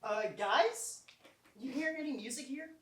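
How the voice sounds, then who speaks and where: A woman's voice: high-pitched, moderate pace, natural voice. A woman playing a rowdy young clubgoer in a staged play reading, theatrical and exclamatory. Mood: alarmed. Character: rowdy young clubgoer named Troy, growing panicked. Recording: echoey theatre, distant mic.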